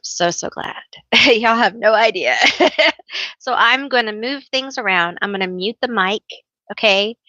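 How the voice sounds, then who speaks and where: A woman hosting a casual tarot live stream, chatty and teasing herself, laughing often and calling herself a loud yeller. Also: on the high side, measured pace, no echo, muffled recording.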